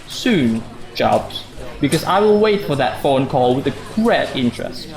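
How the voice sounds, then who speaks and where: A man's voice: mid-range voice, big pitch swings, unhurried, creaky, darkish tone. A man playing a sarcastic, defensive office worker husband in a radio drama, sardonic and emphatic. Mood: indignant. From Indonesia. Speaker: actor in a student radio drama.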